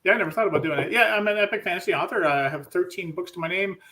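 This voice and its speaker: A man's voice: sits high, racing, sharp tone. A man co-hosting a live show, talking eagerly and casually about his own work. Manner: eager, laughing, conversational. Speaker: co-host and author. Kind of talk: casual chat.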